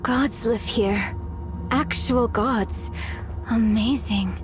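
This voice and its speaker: An actress playing an earnest young hero full of wonder in a video game. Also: female voice, on the high side, extremely slow, over music, low-quality recording. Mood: awed. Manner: wonder-filled, sincere. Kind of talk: video game character lines.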